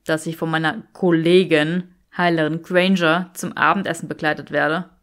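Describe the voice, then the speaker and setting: A woman voicing a haughty, dry young wizard gentleman with clipped courtesy in an audiobook story. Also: sits low, little pitch movement, moderate pace, pitched below the performer's own voice, close to an everyday voice. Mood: courteous, composed.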